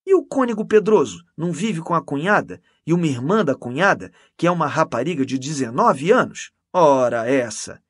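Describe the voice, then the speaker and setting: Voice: mid-range voice, lively intonation, moderate pace, hardly any pauses, husky, dry recording, clean studio sound. A reader voicing a pompous, self-satisfied, gossipy male priest who exclaims and repeats himself unctuously in an audiobook story. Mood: indignant, dismissive.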